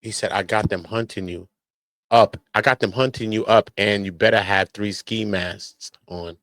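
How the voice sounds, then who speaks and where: Low-voiced American man, slangy and dry. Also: fairly even intonation, normal speed, a bit bright, no room sound. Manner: repetitive verbal tags, emphatic slangy delivery. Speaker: online commentator on criminal cases. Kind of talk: casual street-slang commentary, reading documents aloud at times.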